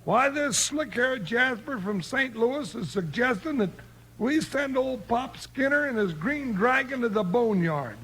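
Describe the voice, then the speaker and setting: Big folksy deputy sidekick, very high husky voice, homespun, earnest, 1950s radio western. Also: male voice, expressive, a little slow, a bit bright, exaggerated voice, rough recording. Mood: earnest, stubborn. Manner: drawling frontier slang, plain-spoken and earnest.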